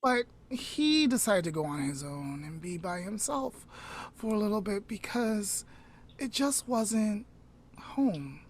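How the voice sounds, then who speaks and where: Woman, podcast co-host, slow storytelling, mid-pitched voice, soft near-whisper at line ends, chatty asides. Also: big pitch swings. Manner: chatty asides with self-corrections and fillers.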